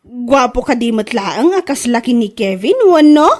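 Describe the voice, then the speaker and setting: Mocking, incredulous female cousin, woman actor, comedy drama, high pitch, very lively intonation. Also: normal speed. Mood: mocking, amused.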